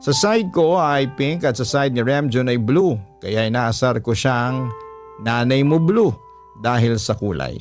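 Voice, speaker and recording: A man reading a listener's love story aloud in the first person, in a measured storytelling manner. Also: medium pitch, lively intonation, soft background music. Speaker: narrator reading a listener's letter. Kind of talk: scripted first-person narration.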